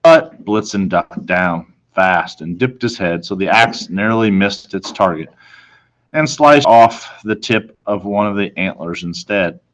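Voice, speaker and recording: A man reading a children's storybook aloud in a dramatic manner, with deliberate pauses. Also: fairly low pitch, a bit bright, dull audio. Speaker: storybook reader.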